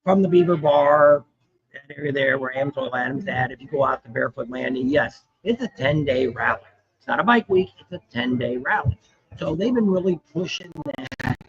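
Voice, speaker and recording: A slightly hoarse man with a cold hosts a casual live chat, rambling along with frequent "uh" fillers. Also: mid-range voice, normal speed, muffled recording. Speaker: livestream host. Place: restaurant at a motorcycle rally.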